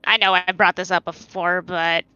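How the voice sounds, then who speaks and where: Woman in gamer voice chat, normal mid pitch, casual, bit lo-fi. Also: level tone, moderate pace, sharp tone, clean tone, dry recording. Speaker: voice chat companion on a game stream.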